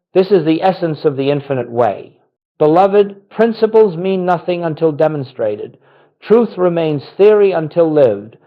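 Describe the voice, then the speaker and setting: A man delivering a scripted spiritual sermon in a solemn, gentle manner, with short, deliberate phrases. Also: a little high, muffled recording. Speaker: spiritual teacher.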